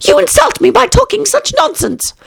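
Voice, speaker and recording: A woman's voice: extremely high pitch, very animated, moderate pace, vocal fry, voice pushed up, exaggerated voice. A woman voicing a small, touchy mouse that speaks sharply and takes offence easily. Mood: indignant. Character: touchy, severe little mouse.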